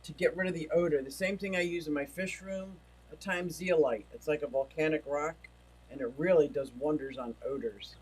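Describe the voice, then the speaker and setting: A man's voice: fairly high pitch, steady tone, fairly quick, slightly rough, poor audio. A man hosting a casual livestream, chatting and reading viewer comments aloud, feeling like he is losing his voice. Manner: rambling, chatty, reads chat messages aloud. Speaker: livestream host. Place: home.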